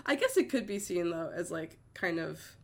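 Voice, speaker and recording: A woman's voice: lowish voice, animated, moderate pace. Congested woman host, chatty and upbeat. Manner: chatty, enthusiastic, laughs easily. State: congested from a cold. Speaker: podcast host. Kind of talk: casual chat.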